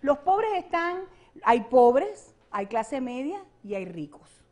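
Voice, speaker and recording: A woman's voice: high voice, sing-song, slow pace, a little mellow. A Venezuelan woman, a political analyst and guest, speaking with passion and emphatic repetition in a studio interview. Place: television studio set.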